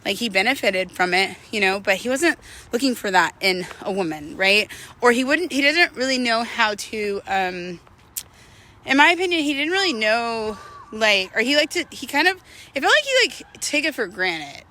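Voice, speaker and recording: A woman's voice: fairly high pitch, big pitch swings, moderate pace, sharp tone. A woman in her thirties talking in a candid, rambling, slangy way, as in a casual confessional walking vlog. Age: 30s. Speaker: vlogger.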